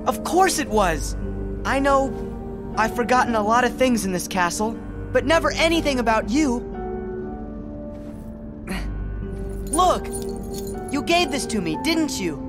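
A man's voice: very high-pitched, animated, takes it slowly, pauses often, long reverb tail, over music, heavily acted. An actor playing an earnest, kind-hearted teenage boy hero in a video game, sincere and easily bewildered. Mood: tender.

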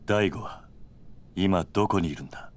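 Guy acting as stoic old-school yakuza tough guy, middle aged, real low gruff voice, video game cutscene. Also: normal speed, natural voice. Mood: grave, calm. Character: stoic, protective middle-aged former yakuza running an orphanage.

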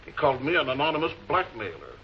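A man's voice: medium pitch, huge pitch swings, fast. Male novelist suspect, vintage TV mystery. Articulate, guarded, defensive; muffled audio with faint hiss. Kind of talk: 1940s television crime drama acting. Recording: muffled, noisy old film soundtrack.

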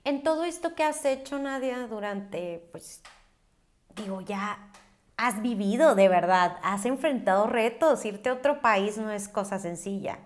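A woman's voice: mid-range voice, lively intonation, measured pace. A woman in her thirties with a Mexican Spanish accent, hosting a casual podcast interview. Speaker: podcast host. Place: indoor dining room. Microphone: podcast microphone on table stand.

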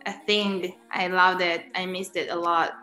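Israeli-accented young woman, slow and soft. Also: fairly low pitch, little pitch movement, reverberant, soft background music. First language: Hebrew. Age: young adult. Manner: soft-spoken, with frequent ums and laughs. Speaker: guest singer. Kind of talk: casual interview answers over video call.

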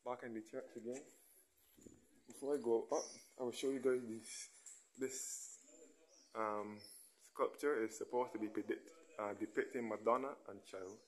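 A man giving a relaxed guided walking tour, recorded on a handheld camera as he moves from a churchyard into a large church. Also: mid-range voice, moderate pace, poor audio. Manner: relaxed, pointing things out as he walks. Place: outdoors in a churchyard, then inside a large church.

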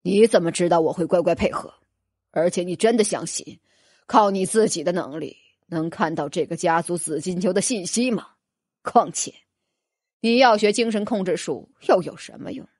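A woman's voice: on the low side, moderate pace, a little mellow, breathy edge, dry recording, clean studio sound, voice pushed down. A woman voicing a proud, scornful nobleman mage in a fantasy audiobook, sarcastic, clipped and challenging. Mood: defiant. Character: proud, scornful male nobleman mage, cornered but composed.

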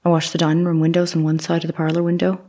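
An actress playing a young housemaid giving testimony in an audio drama, answering in plain, brief, deferential replies. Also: female voice, fairly low pitch, monotone, rapid-fire, dark tone. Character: young Irish housemaid on the witness stand.

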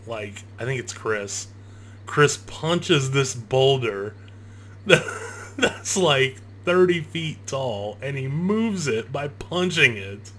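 Bright-voiced, profane male gamer. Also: mid-range voice, a little hiss or hum. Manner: profane, sarcastic, rambling. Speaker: gaming video host. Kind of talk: casual let's play commentary. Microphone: close gaming microphone. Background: game audio.

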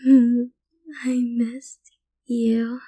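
A woman playing a possessive, lovesick mafia boss's daughter in an ASMR roleplay, speaking softly and breathily, often slipping into whispers, with sweet menace. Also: fairly high pitch, completely flat delivery, no echo. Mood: affectionate. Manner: soft, breathy, slow, often dropping into whispers. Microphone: close mic, dry.

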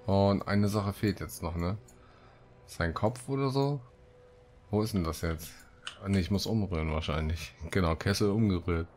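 A man's voice: deep voice, normal speed, darkish tone. A man commentating casually on his gameplay as the host of a gaming video. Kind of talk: casual let's play commentary, reading quests aloud. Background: game music.

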